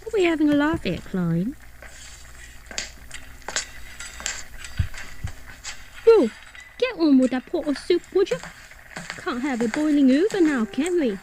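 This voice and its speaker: A woman playing a bossy yet kindly, cheeky kitchen cook in an audio drama, brisk and colloquial. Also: high voice, warm tone, slight background noise, rough recording. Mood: bossy, teasing. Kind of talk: audio drama period acting.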